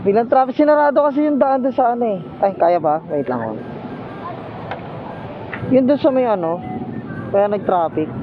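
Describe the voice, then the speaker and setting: A man's voice: extremely high pitch, lively intonation, normal speed, pauses often. A man chatting casually and teasingly with a passenger as he rides a motorcycle taxi, talking into a helmet intercom. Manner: joking, teasing banter. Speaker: motorcycle taxi rider and vlogger. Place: outdoors riding a motorcycle in traffic. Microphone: helmet intercom microphone. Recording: muffled helmet intercom with loud road noise. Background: traffic and engine noise.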